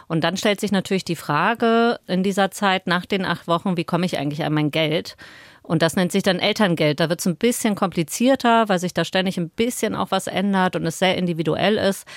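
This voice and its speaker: A woman from Portugal, a doctor, co-hosting a podcast and calmly explaining the rules step by step. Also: on the low side, dry recording, clean studio sound.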